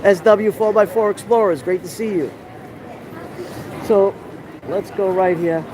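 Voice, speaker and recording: A man's voice: high-pitched, unhurried, darkish tone, low-quality recording. A middle-aged man with a New York accent, hosting a casual walking tour on a live stream. He chats loosely and greets his viewers by name. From New York City. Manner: chatty, greets viewers by name, rambling asides. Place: outdoor city street during a festival, raining. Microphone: handheld phone camera. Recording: street noise around a phone mic.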